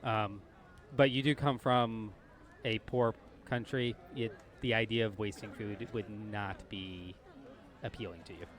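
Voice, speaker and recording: A man working as game master, improvising narration and rules for a tabletop game while thinking aloud in a halting way. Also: on the low side, steady tone.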